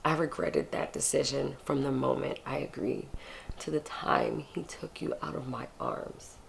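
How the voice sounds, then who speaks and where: A woman playing a regretful middle-aged mother in a film drama, earnest and hesitant with her grown son. Her voice has a gravelly creak. There is faint noise behind her. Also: rumbling low, normal speed, exaggerated voice. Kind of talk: dramatic screen acting.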